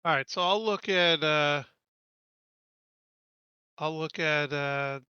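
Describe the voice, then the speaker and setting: Bright, forceful man playing a tabletop game. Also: fairly high pitch, slow, clear, resonant tone, no echo, lo-fi sound. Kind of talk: casual game-table talk.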